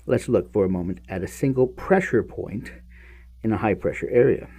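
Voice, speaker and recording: Male podcast reader, mellow and husky; emphatic, halting delivery of science text, with muttered asides. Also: lowish voice. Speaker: podcast host reading a book aloud. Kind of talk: reading aloud from a speculative science handbook with offhand asides.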